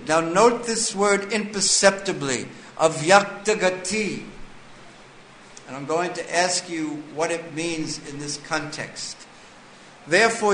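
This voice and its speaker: A man with a slightly high voice gives a scripture lecture at an unhurried, deliberate pace. He reads a line of text and then explains it to the listeners. The sound is echoey, with faint ambient noise behind him. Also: fairly bright tone. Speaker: scripture class teacher.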